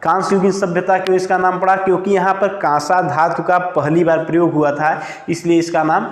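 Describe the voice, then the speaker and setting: A male teacher lecturing and dictating notes, asking questions and answering them himself, slowing down to spell out each word as he writes. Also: sits high, little pitch movement. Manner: asks and answers his own questions, slows down to dictate words while writing. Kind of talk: classroom lecture with note dictation. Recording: echoey room with long reverb.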